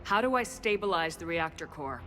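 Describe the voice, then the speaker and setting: A woman's voice: on the low side, lively intonation, a little fast, bright, forceful tone, faint music behind. An actress playing a tough, resolute female military commander in a video game, with firm, urgent delivery. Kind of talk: dramatic video game acting.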